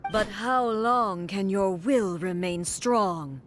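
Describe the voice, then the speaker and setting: A woman voicing a solemn, resolute goddess ruler in a video game, speaking in a measured, grave and formal way. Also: medium pitch, very noisy, close to an everyday voice. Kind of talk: video game cutscene voice acting.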